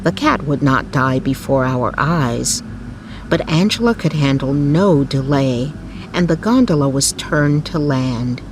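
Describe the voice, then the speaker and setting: A woman narrating a scripted bedtime story in a soothing, measured manner with careful phrasing. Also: deep voice, animated, background noise. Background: music.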